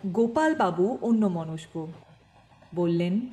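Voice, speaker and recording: A woman's voice: on the low side, very animated, slow, mellow. A woman reading a scripted story as a dramatic audiobook narrator.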